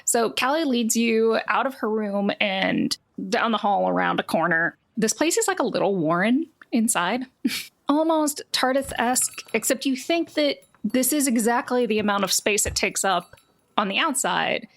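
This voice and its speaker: A woman's voice: fairly high pitch, lively intonation, moderate pace, gravelly. A woman game master narrating a tabletop game in a casual, improvised, playful way.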